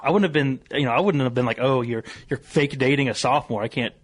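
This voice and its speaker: A man's voice: mid-range voice, fast talker, husky. A young American man from Missouri telling stories casually on talk radio, rambling and self-deprecating. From Missouri, United States. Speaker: sports columnist, radio guest.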